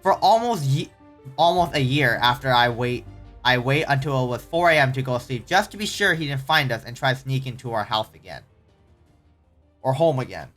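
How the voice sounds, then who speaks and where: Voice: medium pitch, lively intonation, normal speed, a bit bright, soft background music. A casual host reading online horror stories aloud, often stumbling and correcting the wording, with startled, exclaiming reactions in between.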